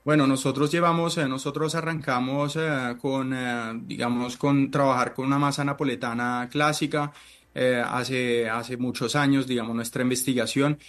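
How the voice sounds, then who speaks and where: A middle-aged man from Italy whose first language is Italian, a chef answering interview questions in a halting way, with many fillers and restarts. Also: mid-range voice, fairly even intonation, fairly bright tone.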